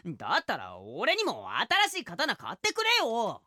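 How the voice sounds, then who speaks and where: A woman voicing a young male cat swordsman, eager and earnest, in animated radio drama style. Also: mid-range voice, measured pace, no room sound, clean studio sound, exaggerated voice. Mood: determined, scolding. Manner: cat-like verbal tics, boyish speech.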